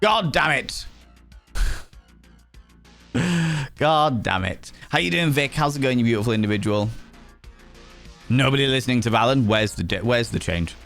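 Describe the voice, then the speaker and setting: A man's voice: medium pitch, a little slow, pauses often, soft background music. Cheeky Yorkshire man chatting with viewers. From Yorkshire, England. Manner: cheeky, teasing, swears freely, greets chat warmly. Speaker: live streamer. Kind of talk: casual live-stream chat.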